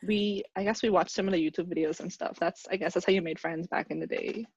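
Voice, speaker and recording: A woman's voice: on the low side, quick pace, slightly rough, no echo. Young woman with a dull, dry sound. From Bahamas. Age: 20s. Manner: relaxed, laughing. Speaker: podcast co-host. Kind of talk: casual chat.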